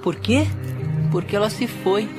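A woman's voice: medium pitch, measured pace, over music, close to an everyday voice. A woman voicing a kind young male cricket hero in a cartoon dub, earnest and lovesick, with a Brazilian accent. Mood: lovesick, sad. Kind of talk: animated cartoon dub acting.

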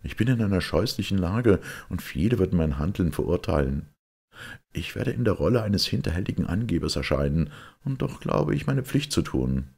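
A man plays a young male secretary in a detective audiobook, bitter and impatient. He speaks urgently and emphatically, in a husky voice lifted above the narrator's. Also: low-pitched, sing-song, normal speed, a little mellow, voice pushed up, exaggerated voice. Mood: bitter, uneasy.

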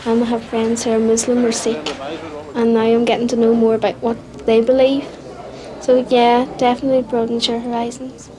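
A woman's voice: fairly high pitch, completely flat delivery, moderate pace, dark tone, low-quality recording. A young woman with a Northern Irish accent, talking candidly and reflectively in a documentary interview. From Northern Ireland. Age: young adult. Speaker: student interviewee. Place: small student bedroom.

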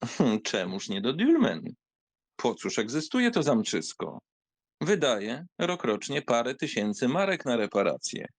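A man's voice: mid-range voice, very animated, a little slow, no echo. A man playing a bored young aristocratic count in an audiobook drama, languid and dry, with hesitant hums. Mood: nonchalant, obliging. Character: bored, nonchalant young aristocrat count humouring his aunt.

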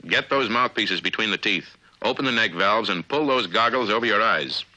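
An American man narrating a scripted 1950s military training film in a measured, authoritative, instructional way, on a vintage film soundtrack. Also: lowish voice, lively intonation, bright, forceful tone, highs cut off.

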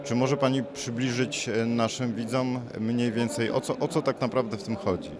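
Male interviewer over clear crowd noise. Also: mid-range voice, fairly even intonation, moderate pace. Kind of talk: formal prepared interview questions. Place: indoor exhibition hall. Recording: busy trade fair hall crowd noise. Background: crowd hubbub.

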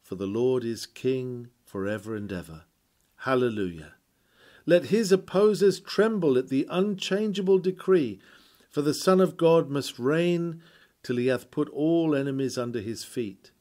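A man reading an old sermon aloud in a solemn, declamatory way, with formal, measured and emphatic phrasing. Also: mid-range voice, a little mellow. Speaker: reader of a historical sermon. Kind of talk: sermon read aloud, formal scripted oratory.

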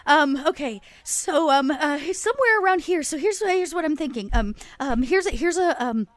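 A woman's voice: sits high, expressive, moderate pace, dry recording. A woman playing a tabletop game in casual roleplay, with a hesitant, stop-start delivery.

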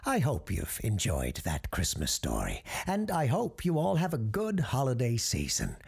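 A podcast host and storyteller giving dramatic, measured scripted narration, with a gravelly voice that drops into hushed tones. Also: mid-range voice, wildly swooping pitch. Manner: theatrical, measured.

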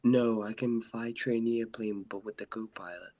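Husky-voiced teenage boy. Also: fairly low pitch, steady tone, moderate pace, dark tone. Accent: Indian English. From India. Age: teens. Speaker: chat stranger. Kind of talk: casual chat.

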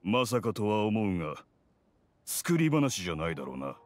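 A man voicing a gruff, proud blacksmith in a video game, speaking in a blunt, measured, rough-spoken way. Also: fairly low pitch, big pitch swings, dry recording. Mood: skeptical. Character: gruff, proud, no-nonsense male blacksmith craftsman. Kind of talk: Japanese video game voice acting. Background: background music.

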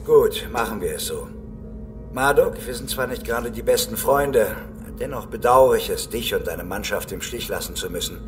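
An actor in a German audio drama playing a decisive, composed leader of space sheriffs, in a commanding manner. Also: male voice, fairly low pitch, lively intonation, normal speed, natural voice. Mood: resolute. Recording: music behind the voice.

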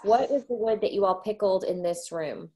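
A woman hosting a live virtual tour, asking the homeowners polite, measured questions over a video call. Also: mid-range voice, mellow.

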